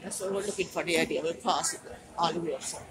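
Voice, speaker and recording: Lowish-voiced mother, bossy and chatty. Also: fast talker, a crowd in the background. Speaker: vlogger's mother. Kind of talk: casual chat while shopping.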